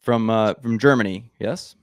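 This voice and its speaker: A man with a low voice hosts a podcast interview from a home studio. He speaks right into a large studio microphone, and the sound is dry with no room echo. His delivery is relaxed and joking, full of casual likes. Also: moderate pace. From United States. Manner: joking, relaxed, heavy use of like. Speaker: podcast host. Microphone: headphones and large studio mic.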